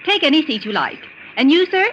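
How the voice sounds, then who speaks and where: An actress playing a courteous, efficient air hostess, polite and professional, in 1940s radio style. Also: female voice, sits high, very animated, a little fast, fairly bright tone. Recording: dull old radio recording, faint noise.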